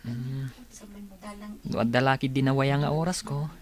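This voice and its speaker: An actress playing a friendly, agreeable young student with a Filipino accent in a radio drama. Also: female voice, a bass voice, steady tone, normal speed, darkish tone.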